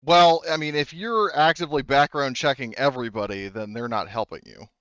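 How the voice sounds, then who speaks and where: A man speaking as game master of a tabletop roleplaying game, halting as he thinks aloud. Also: medium pitch, fairly bright tone, clear, resonant tone, no room sound, highs cut off.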